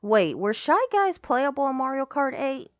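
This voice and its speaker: A young woman with a hoarse voice, rambling casually as she reacts to a game showcase video. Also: high voice, sing-song, normal speed, dull audio, over loud music. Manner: rambling, lots of "like" and trailing off.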